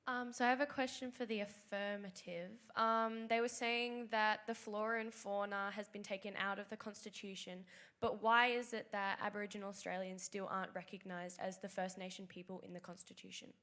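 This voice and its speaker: Audience questioner, woman, flat even delivery. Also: mid-range voice, fairly quick. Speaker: audience member asking a question. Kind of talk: question from the floor.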